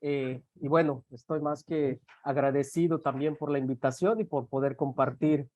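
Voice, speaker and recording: A man's voice: a little high, steady tone, normal speed, a little mellow, dry recording, lo-fi sound. Maya-accented man on a video call. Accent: Yucatec Maya. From Mexico. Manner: hesitant, many fillers. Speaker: panelist. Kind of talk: online panel talk.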